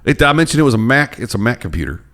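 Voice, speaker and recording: A man's voice: mid-range voice, very animated, very fast, a bit bright. A man voicing a rowdy old country man who calls a computer help desk and talks in a crude, drawling, teasing way.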